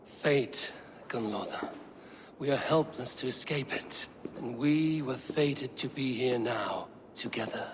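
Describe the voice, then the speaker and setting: Male actor as cunning disguised god, game drama. Measured, silver-tongued, formal; mid-pitched, clear noise behind. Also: close to an everyday voice. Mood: coaxing. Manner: measured, persuasive, formal archaic phrasing. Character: cunning, smooth-tongued disguised god scheming to steal mead. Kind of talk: dramatic video game acting.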